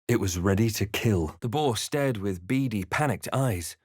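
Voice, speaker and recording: Brooding, low-pitched adventurer hero. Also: male voice, lively intonation, measured pace, husky, no room sound, clean studio sound. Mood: brooding, grave. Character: brooding, measured adventurer hero recounting his dark journey. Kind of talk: scripted fantasy game narration and character lines.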